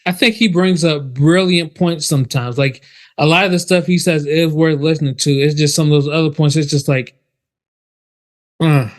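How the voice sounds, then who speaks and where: A man co-hosting a podcast, chatting in a relaxed, slangy way with plenty of "like". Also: a little high, normal speed. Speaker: podcast co-host. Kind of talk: casual chat.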